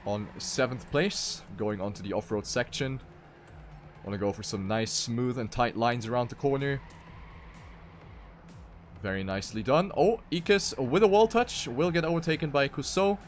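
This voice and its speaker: A man's voice: medium pitch, moderate pace, faint music behind. A man giving live commentary on a racing video game as an esports caster.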